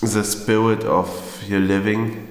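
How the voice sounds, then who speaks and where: A man's voice: on the low side, little pitch movement, slow pace, slight background noise. A young man in his twenties from Germany, speaking English as a guest and musing thoughtfully. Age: 20s. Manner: thoughtful, many "like" fillers. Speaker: podcast guest. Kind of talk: casual discussion. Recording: echoey hall.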